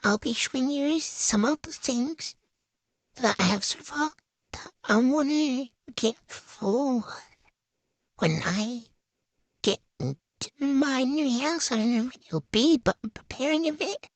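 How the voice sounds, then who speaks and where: A woman's voice: high-pitched, expressive, takes it slowly, no echo. A woman giving a casual, chatty show-and-tell voice-over in a hesitant, unscripted way that tends to trail off. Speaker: vlogger.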